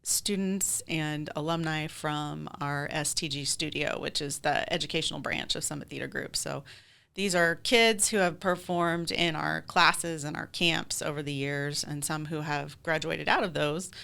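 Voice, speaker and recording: A woman with an American accent and a low, bright voice talks as an interview guest. Her delivery is clear, with some gravelly creak, and she explains things in an informal, chatty way. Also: fairly even intonation, measured pace. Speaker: guest, theater director. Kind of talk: casual interview chat.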